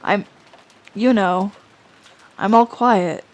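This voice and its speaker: A woman voicing a quiet, lonely schoolgirl who speaks softly and then pleads with urgency. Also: mid-range voice, extremely slow, low-quality recording. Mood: subdued. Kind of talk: amateur audio drama reading.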